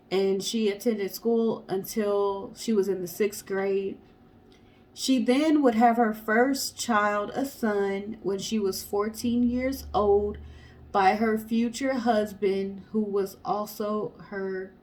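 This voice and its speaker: A woman's voice: medium pitch, steady tone, slow pace, darkish tone, low-quality recording. A woman co-hosting a storytelling show, reading a story in a casual way and addressing the audience.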